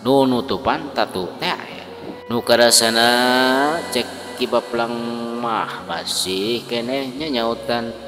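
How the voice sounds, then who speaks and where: A man's voice: mid-range voice, slow. A man with a Sundanese accent telling a folk tale dramatically, in a colloquial oral storytelling manner. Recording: long reverb, loud music behind. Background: music.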